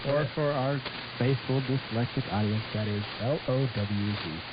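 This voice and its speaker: Blunt male radio sidekick, casual and grumbly, muffled under very loud static. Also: mid-range voice, normal speed. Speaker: radio show co-host. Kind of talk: casual talk show banter. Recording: very hissy muffled shortwave radio broadcast.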